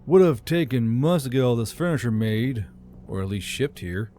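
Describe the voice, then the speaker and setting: A man's voice: mid-range voice, animated, normal speed, close to an everyday voice. A man voicing a wry, modest human adventurer in a fantasy audiobook, casual and questioning. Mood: curious. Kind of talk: audiobook character dialogue. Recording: soft music bed behind.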